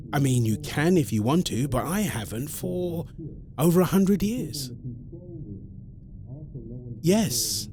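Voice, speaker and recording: A man playing a centuries-old vampire boyfriend in an ASMR roleplay, exasperated but protective, speaking haltingly and pleadingly to the listener. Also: medium pitch. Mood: patient, explaining. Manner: hesitant, halting, pleading, addresses listener directly.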